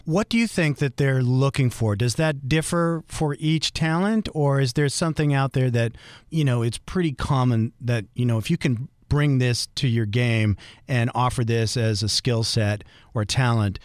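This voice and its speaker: A man with a baritone voice hosting a podcast interview, asking polite, thoughtful, winding questions. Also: normal speed, clean tone, dry recording, studio quality. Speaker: podcast host.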